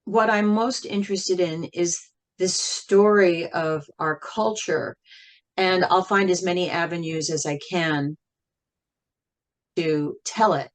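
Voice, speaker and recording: A woman with a slightly low voice answers as an interview guest. She speaks very slowly and reflectively, weighing her phrases and leaving frequent pauses as she goes. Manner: measured, thoughtful, with frequent pauses. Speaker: interview guest, singer and writer.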